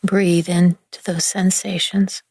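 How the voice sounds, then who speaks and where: A woman leading a guided relaxation meditation in a gentle, soothing, hushed manner with long pauses between phrases. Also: fairly low pitch, level tone, breathy edge, no room sound, studio quality. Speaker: yoga nidra meditation guide.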